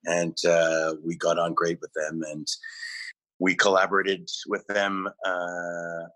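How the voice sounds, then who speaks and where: A male musician answering interview questions, halting often with fillers and restarts. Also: sits low, completely flat delivery, a bit bright, rough recording. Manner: hesitant, many fillers and restarts. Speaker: musician guest.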